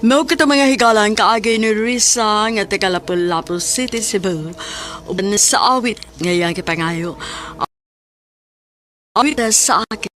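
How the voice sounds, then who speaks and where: Cebuano lady announcing a radio drama, medium pitch, reading script, faint music under her. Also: measured pace, fairly bright tone. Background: light music.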